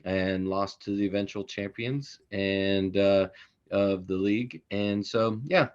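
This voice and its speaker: A man's voice: low voice, fairly even intonation, takes it slowly, clear, resonant tone, lo-fi sound. A man speaking as a podcast guest, talking in a rambling way with many fillers. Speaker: soccer chaplain guest.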